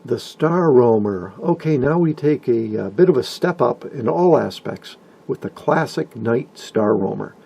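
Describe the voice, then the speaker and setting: An older man narrating informally from a script, with a husky, gravelly voice and wry, folksy asides. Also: mid-range voice, lively intonation, a little slow, hardly any pauses, mellow. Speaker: hobbyist video narrator. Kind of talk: informal scripted narration about vintage radios.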